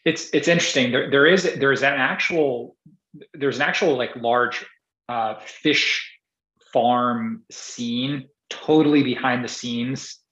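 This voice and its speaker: A man's voice: medium pitch, slow pace, fairly bright tone. A man from Singapore tells his story as an entrepreneur in a loose, rambling way, circling back with restarts and fillers. His voice sits in the middle of the range. The audio is roomy and a little dull. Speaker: podcast guest, entrepreneur. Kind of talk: casual interview conversation.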